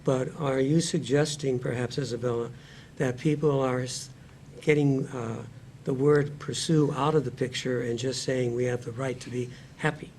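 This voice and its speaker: Male discussion host, mid-pitched, earnest and deliberate, recorded with audible background noise. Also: fairly even intonation, a little mellow. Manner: earnest, deliberate, repeats phrases for emphasis. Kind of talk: moderated panel discussion.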